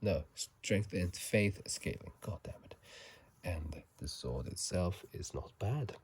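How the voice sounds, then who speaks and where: A man speaking in a soft ASMR whisper and murmur, casual and halting, recorded on a phone microphone. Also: low voice, animated. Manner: halting, casual, self-correcting. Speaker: channel host. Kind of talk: whispered ASMR game commentary. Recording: faint noise, phone microphone.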